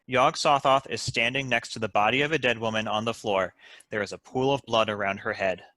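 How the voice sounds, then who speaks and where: A man's voice: medium pitch, a little fast, bright, forceful tone, no room sound. A man reading out stage directions as the narrator of a scripted table read.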